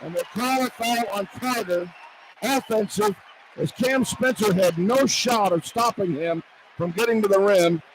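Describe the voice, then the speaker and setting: High-pitched man calling live basketball. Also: unhurried, rough recording. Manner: clipped running phrases, excitable, bursts into shouts. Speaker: play-by-play radio announcer. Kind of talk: live basketball play-by-play commentary. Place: courtside in an indoor arena. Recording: loud arena noise behind. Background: loud game ambience.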